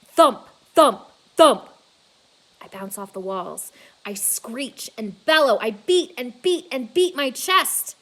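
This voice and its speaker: A woman's voice: a little high, animated, slow pace, rough recording. A woman voicing a brooding captive male gorilla who tells his own storybook tale solemnly and dramatically. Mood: roused, angry. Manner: solemn, dramatic first-person telling.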